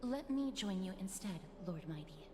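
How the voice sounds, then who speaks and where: A woman playing a gentle, solemn young woman in a fantasy video game, speaking formally in a hushed, measured way. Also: medium pitch, expressive, close to an everyday voice. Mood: grave, cautioning. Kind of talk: scripted video game voice acting. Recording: reverberant game audio with music.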